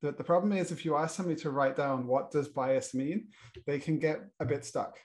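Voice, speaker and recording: A man's voice: a little high, fairly even intonation, brisk, a little mellow. A middle-aged British man moderating a panel, introducing the topic with many fillers and restarts. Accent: British English. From Britain.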